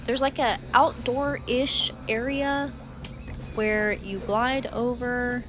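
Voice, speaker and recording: A woman's voice: on the high side, steady tone, takes it slowly. A woman streaming a game in casual, rambling chat full of "like" and "um", her voice getting dry and worn from talking so long. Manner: chatty, rambling, frequent "like" and "um". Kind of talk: casual livestream chat while playing. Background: game music.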